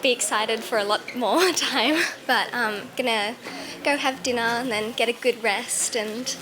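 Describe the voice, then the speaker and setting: A young Australian woman speaks in a high voice, casual and excited, as a finalist in an interview. She sounds giggly, and a noisy crowd chatters around her. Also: unhurried. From Sydney, Australia. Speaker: competition finalist being interviewed. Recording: interview amid crowd noise.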